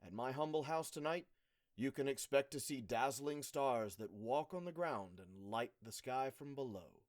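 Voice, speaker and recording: A man playing an older, courteous noble lord and protective father in a measured, declamatory audio drama style. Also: on the high side, darkish tone. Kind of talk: audio drama acting of modernized Shakespeare.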